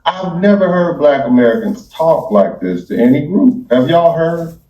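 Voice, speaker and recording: A man giving reaction commentary in a measured, deliberate way, searching for the right words. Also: on the high side, lively intonation, mellow. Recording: dull, echoey, distant microphone.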